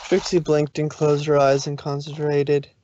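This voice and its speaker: A man reading the narration of a fanfic aloud in a steady storytelling manner. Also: on the high side, moderate pace, slight background noise. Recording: dull, lo-fi stream audio.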